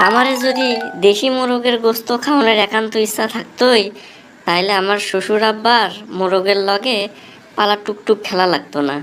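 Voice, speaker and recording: Over faint background noise comes a cartoon husband played by a woman, young, sulky and full of sarcasm. He complains in a rural Bangladeshi accent at a middling pitch, every remark a comic jab. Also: lightly acted. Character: grumbling, sarcastic young son-in-law, a disgruntled husband.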